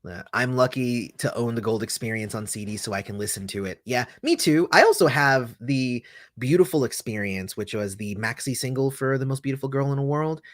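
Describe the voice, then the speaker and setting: A man's voice: medium pitch, lively intonation, brisk, no echo, studio quality. A man hosting a casual livestream, reading viewer comments aloud and rambling through answers with many fillers and restarts. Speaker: host answering livestream chat.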